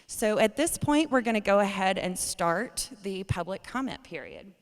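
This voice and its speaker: Clear-voiced woman moderating a town hall. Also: medium pitch, animated. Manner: measured, courteous, with frequent fillers. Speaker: state education official hosting a town hall. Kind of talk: public meeting moderation. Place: large meeting room with a podium. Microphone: podium microphone. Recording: long hall reverb.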